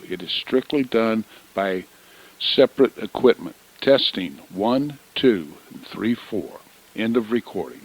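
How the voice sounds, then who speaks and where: A man's voice: on the low side, darkish tone. A man making clipped, repetitive microphone test announcements, heard through an amateur radio's monitor output. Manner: clipped, repetitive short phrases. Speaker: radio operator giving test recordings. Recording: dull band-limited amateur radio monitor audio.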